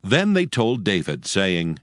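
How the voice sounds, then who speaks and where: A man's voice: low voice, huge pitch swings, takes it slowly, a bit bright, no room sound, clean studio sound. A man narrating scripture from a script in a solemn storytelling manner.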